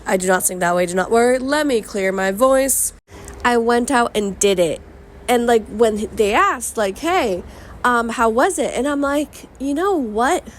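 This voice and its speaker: A young woman hosting her own vlog and podcast, bubbly and slangy, with a slightly strained voice that is still recovering, swooping between excited highs and low mutters. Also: measured pace, slight background noise. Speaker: vlogger and podcast host. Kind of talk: casual upbeat vlog talk.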